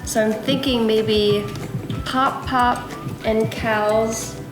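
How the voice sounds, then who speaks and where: A woman's voice: fairly high pitch, very slow. A woman hosting a family craft video, chatting casually and encouragingly as she gives simple instructions. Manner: animated, encouraging when talking to the child. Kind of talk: casual craft tutorial and chat with a young child. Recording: echoey room with music underneath. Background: music.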